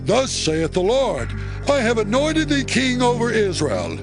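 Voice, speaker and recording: An actor playing a bold army captain, theatrical and declamatory, in a dramatized scripture reading. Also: male voice, extremely high pitch, wildly swooping pitch, a little slow, music in the background, exaggerated voice. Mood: excited, proclaiming. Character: bold male army captain newly proclaimed king.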